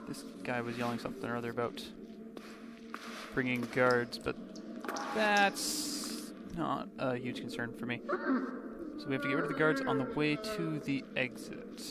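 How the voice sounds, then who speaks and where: A man chats through a video game in a medium-pitched voice. He rambles hesitantly with wry asides. The background noise is very loud. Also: moderate pace. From Canada. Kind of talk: casual gameplay commentary. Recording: very noisy game sound behind commentary.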